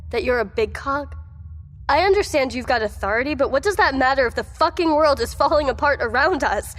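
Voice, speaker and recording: Woman playing defiant female survivor, high voice, sardonic, challenging, audio drama, long reverb. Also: expressive, a little fast. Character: defiant, distrustful woman standing up to authority.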